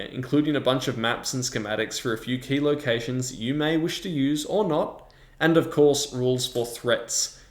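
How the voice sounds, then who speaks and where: A man presenting a scripted review as the host of a video. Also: medium pitch. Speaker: tabletop game reviewer and host. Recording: roomy, echoing sound.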